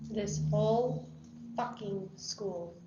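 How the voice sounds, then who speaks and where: A woman playing a casual, slangy high school teenager in a screenplay table read. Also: slow, close to an everyday voice. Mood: uneasy, softening. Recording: echoey, dull, noisy room recording.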